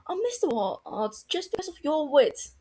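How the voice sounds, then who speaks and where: A woman's voice: sits high, very animated, unhurried, mellow, pitched above the performer's own voice. A woman voicing a young female doctor who talks in a wry, teasing way.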